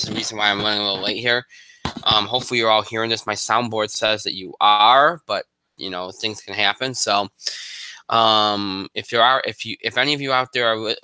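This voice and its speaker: A man hosting a live sports show alone, congested and a bit hoarse, rambling with lots of fillers and restarts. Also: sharp tone, slight background noise, poor audio. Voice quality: high voice for a man.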